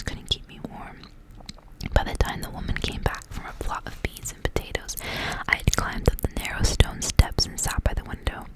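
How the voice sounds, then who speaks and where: A woman whispers a fairy-tale story in an ASMR style. Her delivery is unvoiced, soft and hushed throughout. She moves deliberately through the prose, one phrase at a time. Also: rough recording.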